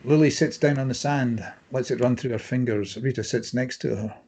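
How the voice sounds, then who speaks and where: A man's voice: mid-range voice, normal speed, darkish tone, a little hiss or hum. A man reading stage directions as scripted narration for a drama table read.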